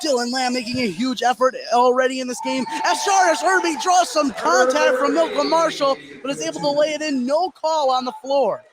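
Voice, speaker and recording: A man doing live play-by-play basketball commentary, his delivery rising with excitement on big plays. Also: extremely high pitch, brisk, few pauses. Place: indoor basketball gym at a tournament. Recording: noisy gym behind live broadcast.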